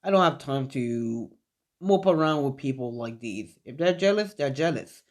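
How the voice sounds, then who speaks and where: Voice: medium pitch, expressive, normal speed, close to an everyday voice. Someone doing an aloof teenage boy ninja, Naruto.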